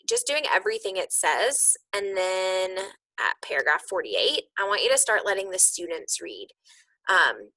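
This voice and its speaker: A woman's voice: medium pitch, fairly bright tone, no room sound, poor audio. A woman giving an informal, conversational instructional walkthrough, explaining things as a teacher would to colleagues. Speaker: teacher coach explaining lesson plans to colleagues.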